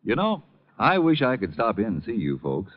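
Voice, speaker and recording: A man's voice: fairly low pitch, huge pitch swings, moderate pace. A man giving a folksy, conversational 1950 radio advertisement in a chatty, easygoing, confiding way. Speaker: radio commercial spokesman. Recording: dull old radio recording.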